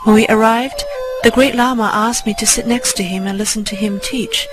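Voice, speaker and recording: A woman reading a scripted first-person spiritual story in a measured storytelling manner. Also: on the high side, steady tone. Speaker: narrator. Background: music under the voice.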